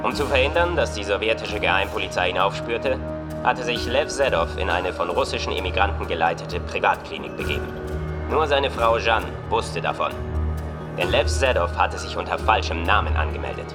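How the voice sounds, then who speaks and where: A man's voice: lowish voice, expressive, normal speed, loud music behind the voice. A man reading a scripted, report-like narration in a radio drama.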